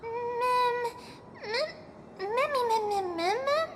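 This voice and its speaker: A woman voicing a tiny cute mascot creature from a video game, babbling in squeaky repeated chirps. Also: extremely slow, a little hiss or hum, full character voice. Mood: chirpy.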